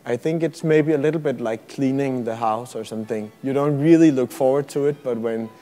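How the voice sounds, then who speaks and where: A Danish man in his thirties, a violinist, answering interview questions in a soft-spoken, slightly hesitant way. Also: medium pitch, brisk, faint music behind. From Denmark. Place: museum gallery.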